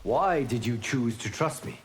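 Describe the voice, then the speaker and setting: An actor playing a calm, solemn fire god and guardian mentor in a fighting game, speaking in formal, measured phrases. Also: male voice, mid-range voice, slight background noise, close to an everyday voice. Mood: grave, measured. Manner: formal, measured, grave wording. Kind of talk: scripted fighting game dialogue.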